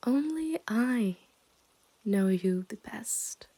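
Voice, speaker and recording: A woman's voice: a little high, animated, very slow, dark tone, heavily acted. A woman playing a possessive, smug yandere kidnapper in an intimate ASMR roleplay, teasing and condescending as she often dips into whispers. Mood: possessive delight.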